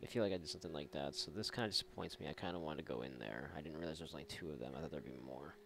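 A man's voice: rumbling low, very fast, vocal fry, fairly bright tone, a little hiss or hum. A man giving casual, rambling and sarcastic let's play commentary, swearing freely as he goes. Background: video game ambience.

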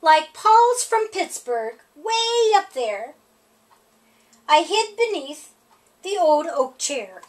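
A middle-aged woman reads a picture book aloud at storytime. Her voice sits high and swings widely in pitch. She takes her time, leaning on the rhymes in a sing-song way. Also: sing-song, takes it slowly. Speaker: storytime reader.

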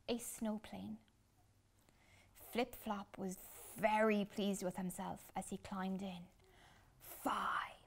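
A woman reads a children's picture book expressively, with deliberate dramatic pauses. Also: mid-range voice, darkish tone. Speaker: children's storytime reader. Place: small furnished room indoors.

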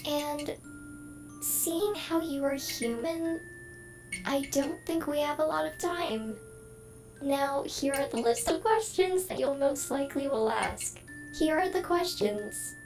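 A woman's voice: sits high, slow, a little mellow. A synthetic-sounding female voice playing a lonely AI trapped inside a computer in a game, polite, earnest and oddly emotional. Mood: anxious. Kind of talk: game character dialogue. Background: game music.

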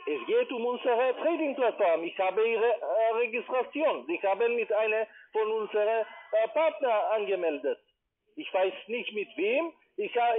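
A man with a foreign accent in non-native German, making a halting, impatient, informal sales call as a call center salesman. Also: sits high. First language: not German. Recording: muffled, tinny phone line.

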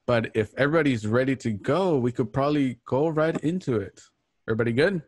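A man's voice: medium pitch, expressive, fast, a little mellow, no echo, clean studio sound. Man hosting an online radio play. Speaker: show host. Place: home, on a group video call.

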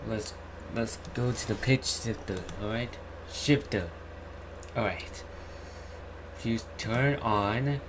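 A young man giving a casual screen-recorded tutorial in a halting, repetitive way. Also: fairly low pitch. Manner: halting, repetitive, says "alright" often. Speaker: YouTuber host. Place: indoor room at home. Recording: clearly noisy home recording, keyboard typing.

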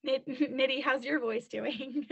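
A woman counselor on a webinar answers questions in a chatty way, with lots of "like" and "um". Her voice sits a little high and has a gravelly edge. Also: fairly bright tone, highs cut off. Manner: chatty, many fillers and "like". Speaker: college admissions counselor.

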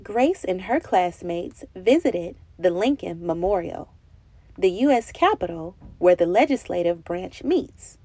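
A woman reading a children's picture book aloud in an expressive storytelling style. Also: fairly low pitch, a little slow, dry recording.

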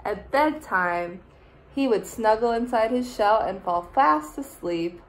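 A young adult woman, a teacher, reading a picture book aloud in a deliberate, expressive way for small children. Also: a little high, a little hiss or hum. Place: small room indoors.

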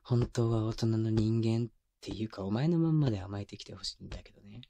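A man's voice: a little fast, dark tone, no room sound. A man playing a teasing, doting young boyfriend in an intimate ASMR roleplay, talking casually and playfully with bits of baby talk, close to a binaural mic. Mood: doting, affectionate. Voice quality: mid-low voice. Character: teasing, doting young boyfriend babying his exhausted, clingy girlfriend. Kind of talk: intimate ASMR roleplay drama, addressed to the listener. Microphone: close binaural microphone.

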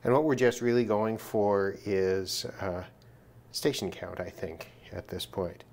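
A man's voice: lowish voice, unhurried, creaky, darkish tone, slight background noise. A man presenting an unscripted hands-on demonstration in a casual, matter-of-fact way, thinking aloud as he goes. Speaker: presenter of a radio gear test video. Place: indoors at a work desk.

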